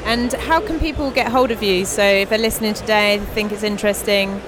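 A woman hosting a podcast, asking brisk, encouraging questions at a conference. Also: mid-range voice, fairly even intonation. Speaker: podcast host, interviewer. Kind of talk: roving interview at a conference. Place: conference hall. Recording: busy crowd at a conference.

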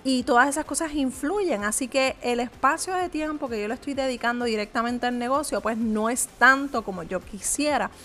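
A woman with a somewhat high voice talks straight to the viewer in a motivational vlog. She sounds earnest and conversational, like a small business owner sharing advice, pausing often on little hesitant fillers. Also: fairly bright tone.

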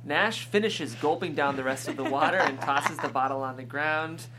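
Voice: medium pitch, normal speed, background noise. A person reading screenplay stage directions aloud as scripted narration at a table read.

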